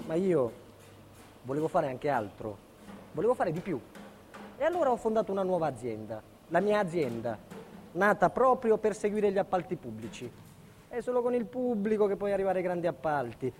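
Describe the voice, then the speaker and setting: An actor playing a proud, burdened builder, telling his life story in an earnest, deliberate theatrical monologue. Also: high voice, darkish tone, a little hiss or hum. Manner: earnest, deliberate, emphatic pauses. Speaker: lead actor and narrator. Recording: long hall reverb.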